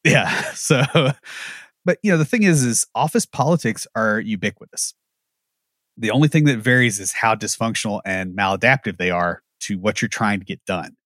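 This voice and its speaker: A man's voice: fairly low pitch, normal speed, fairly bright tone, no room sound, studio quality. A man co-hosting a podcast, giving blunt, wry opinions and anecdotes. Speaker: podcast co-host. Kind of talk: casual opinionated chat.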